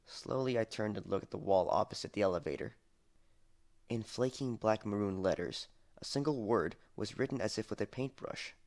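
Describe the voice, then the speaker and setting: Low, gravelly young man narrating dramatically. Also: moderate pace, darkish tone. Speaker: audiobook narrator. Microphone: headset. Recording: noisy amateur headset recording.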